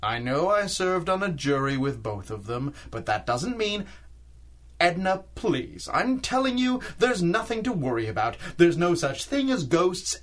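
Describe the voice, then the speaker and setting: An actor playing a nervous, blustering middle-aged husband in a 1930s-style radio drama, with an exasperated, expressive manner. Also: male voice, on the high side. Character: nervous, blustering husband dismissing talk of ghosts.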